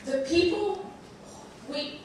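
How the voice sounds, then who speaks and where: A woman whose first language is Spanish, a bit under the weather, telling her story to an audience in an earnest, halting way with many restarts. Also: extremely high pitch. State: under the weather, slight cold. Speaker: panel speaker. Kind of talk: personal talk to an audience. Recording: echoey hall, far from mic, noisy.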